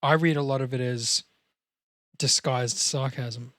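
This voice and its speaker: A man's voice: mid-range voice, fairly even intonation, unhurried, fairly bright tone, no room sound, studio quality. A man hosting a casual talk, explaining acting in a direct, coaching way with casual swearing. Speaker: podcast host explaining acting.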